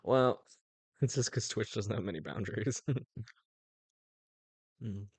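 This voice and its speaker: A young man rambles through a casual livestream chat in a low, gravelly voice. He chuckles often and keeps restarting his sentences around fillers, and the sound is dry and close. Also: slow, a little mellow, dry recording. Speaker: streamer.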